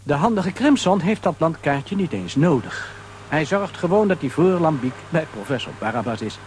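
A man tells a children's story as narrator. His voice sits a little high and moves expressively up and down, over faint background noise. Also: big pitch swings, moderate pace.

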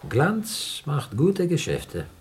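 Grumbling older miser, animated voice. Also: male voice, fairly high pitch, drawn-out words, soft background music, exaggerated voice. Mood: wary. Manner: agitated, complaining, emphatic. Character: older rich uncle, miserly, grumbling, pleading poverty. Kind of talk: radio play acting.

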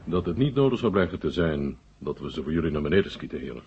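A man playing a senior Soviet marshal in a 1970 Dutch radio drama, urbane and dryly ironic, speaking deliberately with a husky voice and thoughtful pauses. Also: very deep voice, sing-song, a little mellow, slight background noise, heavily acted. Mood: formal, courteous. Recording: muffled old radio recording.

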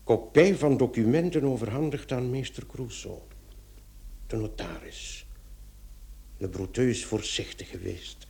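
An actor playing an earnest, excitable police commissioner in a radio play, exclaiming and repeating his words as he thinks. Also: mid-range voice, measured pace, vocal fry, long pauses, slight background noise. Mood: pondering, alarmed. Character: excitable, earnest male police commissioner piecing things together. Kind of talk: Dutch-language radio play acting.

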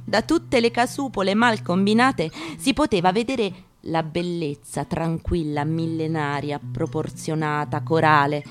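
A woman with a lowish voice reads literary prose as a stage actress would. Her phrasing is measured and emphatic, broken by deliberate pauses, while a guitar plays clearly behind her. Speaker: stage actress reading aloud. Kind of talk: dramatic literary reading with guitar accompaniment. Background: acoustic guitar.

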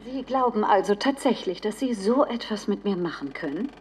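A woman's voice: medium pitch, lively intonation, moderate pace, lightly acted. A woman playing a haughty film actress in a radio drama, delivering stagy, arch lines on a noisy old film soundtrack. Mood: haughty defiance.

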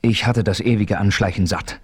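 An actor narrating a radio play as a steady frontier hero, telling his story in a measured way. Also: on the low side, breathy edge.

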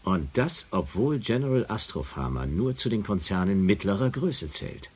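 A man playing a blunt, irritable space shuttle commander in a science fiction radio play, with colloquial speech and a husky voice. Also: lowish voice, very animated, normal speed. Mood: irritated, sceptical.

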